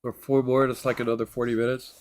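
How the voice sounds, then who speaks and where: A man's voice: mid-range voice, flat delivery, fast talker. A man with a congested, nasal voice talking casually in a vlog, rambling and hesitant with many fillers and restarts. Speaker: vlogger. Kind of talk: casual self-recorded vlog.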